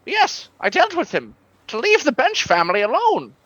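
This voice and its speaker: A woman's voice: high voice, wildly swooping pitch, moderate pace, fairly bright tone, no room sound, full character voice. A woman playing a slippery, pleading male con artist in a comic radio play, stammering and wheedling as the character talks. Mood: defensive.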